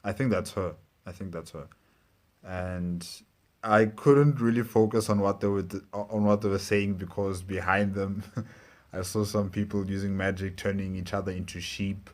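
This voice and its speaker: Low-voiced man giving rambling casual commentary. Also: steady tone, moderate pace. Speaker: host of a reaction video.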